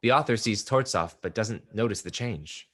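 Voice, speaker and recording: A man's voice: on the low side, animated, moderate pace, a bit bright. A man reading stage directions at a play table read in scripted narration.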